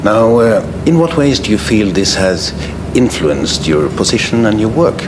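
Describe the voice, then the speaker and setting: A man asking formal interview questions in a halting, deliberate way. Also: fairly low pitch, lively intonation, over a noisy crowd. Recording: noisy archival interview recording.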